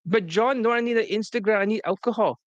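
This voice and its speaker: A brash man running his dating-coaching talk with loud profanity and scorn for his followers. His voice sits a bit high, recorded close and dry with no room sound. He often lurches into shrill mocking impressions of students. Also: fast talker, no echo, highs cut off. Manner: brash, profane, mocks students in high-pitched quoted whines. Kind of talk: blunt promotional coaching talk.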